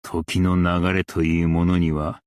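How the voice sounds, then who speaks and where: A man playing a principled, stern yet merciful scholar-strategist in a game, speaking in a formal, archaic and measured way. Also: sits low, completely flat delivery, a little mellow, clean tone, no room sound, studio quality. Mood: quiet, reflective. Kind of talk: Japanese game character voice lines.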